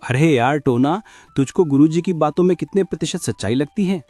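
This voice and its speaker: A man's voice: fairly high pitch, a little fast, loud music behind the voice, natural voice. A man voicing a suspicious, questioning animal disciple in a cartoon, with a doubting, conspiratorial manner. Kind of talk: Hindi cartoon story acting.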